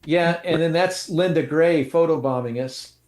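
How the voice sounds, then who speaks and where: A man's voice: a little high, normal speed, reverberant, a crowd in the background. A man co-hosting a casual chat, telling rambling, self-deprecating stories with lots of restarts. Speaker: co-host.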